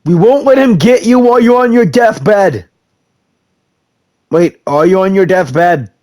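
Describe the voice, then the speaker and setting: A man casually reacting and reading lines aloud during a video game playthrough, animated and joking. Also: high-pitched, measured pace, dry recording. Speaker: gaming streamer.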